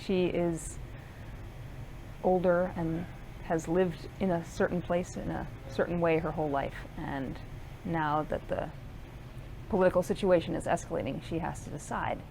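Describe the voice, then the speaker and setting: An American actress with a crackly vocal fry, heard in a theatre's cast interview over faint noise. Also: female voice, on the low side, steady tone, normal speed, dark tone. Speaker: musical theatre actor.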